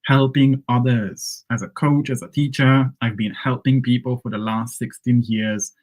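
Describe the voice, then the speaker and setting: A man whose first language is Hungarian, teaching English phrases in a relaxed live lesson and checking in with "right?" and "okay?". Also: mid-range voice, unhurried, rough recording. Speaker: English coach.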